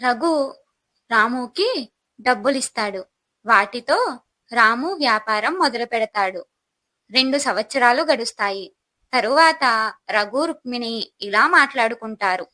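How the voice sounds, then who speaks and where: A woman's voice: fairly high pitch, expressive, unhurried, long pauses, bright, forceful tone. A woman narrating a scripted moral story as the storyteller.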